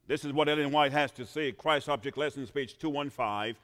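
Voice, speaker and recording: A man from Trinidad preaching a sermon in a church, swinging from quiet asides to shouted emphasis. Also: medium pitch, brisk. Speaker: pastor. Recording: reverberant church hall.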